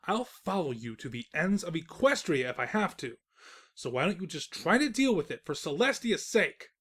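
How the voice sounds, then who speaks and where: A man playing a snarky, defensive invisible narrator of a comic pony story, reading in a wry, expressive storytelling manner. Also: a little high, moderate pace, slightly rough, heavily acted. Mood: exasperated. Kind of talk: scripted narration of comic fan fiction.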